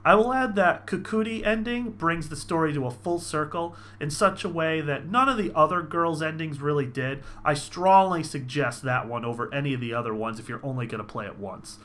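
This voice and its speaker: A man's voice: fairly high pitch, brisk, hardly any pauses, fairly bright tone. A man hosting a video review, speaking in an emphatic, informal way as he narrates his enthusiastic take. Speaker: host of a visual novel review channel.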